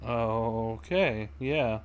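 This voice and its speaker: Very deep-voiced woman streaming casually. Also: extremely slow, background noise. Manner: offhand, slangy. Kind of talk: casual live game reaction. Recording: loud game music behind the voice.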